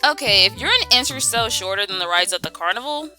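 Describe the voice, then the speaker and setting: Female co-host, very bright and sharp tone, slangy teasing banter, light music underneath. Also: mid-range voice, animated, quick pace. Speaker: co-host of a fan review video. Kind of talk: casual chat, joking commentary.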